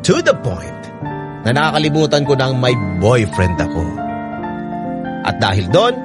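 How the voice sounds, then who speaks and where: A man's voice: medium pitch, very animated, normal speed, close to an everyday voice. A man voicing a conflicted letter-writer's confession as first-person narration in a Filipino radio drama, with a Filipino accent and reflective manner. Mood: conflicted, confessional. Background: piano music.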